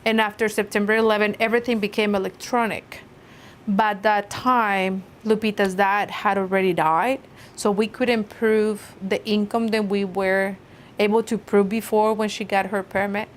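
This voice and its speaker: Middle-aged mother, earnest and halting. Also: medium pitch, level tone, slight background noise. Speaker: interviewee, mother. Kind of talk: interview narration.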